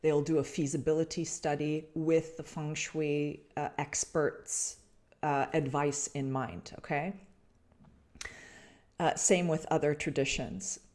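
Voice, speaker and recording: Slow, low-voiced woman musing on spirit. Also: fairly even intonation, darkish tone. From Canada. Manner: slow, searching, many restarts and fillers. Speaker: podcast host. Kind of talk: reflective spiritual talk to listeners.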